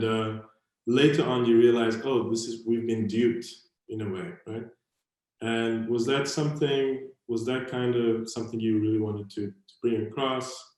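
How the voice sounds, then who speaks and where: A man's voice: on the low side, warm tone. A South African man moderating a panel talk, rambling and hedging with many fillers. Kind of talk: online panel conversation. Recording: very echoey room.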